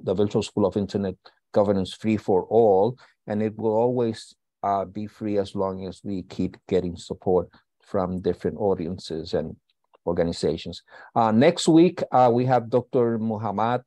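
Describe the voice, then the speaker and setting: A man hosting an online school session, giving housekeeping announcements in a halting way with many uh fillers. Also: on the low side, fairly even intonation, mellow, no echo. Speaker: host and school founder. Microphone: video call.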